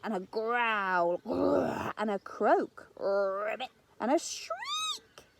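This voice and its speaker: A woman reads a rhyming children's tale with theatrical, rhythmic expression. Her slightly high voice moves widely in pitch at a leisurely pace. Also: wildly swooping pitch, very slow, fairly bright tone. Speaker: storyteller. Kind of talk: expressive children's story narration in rhyme.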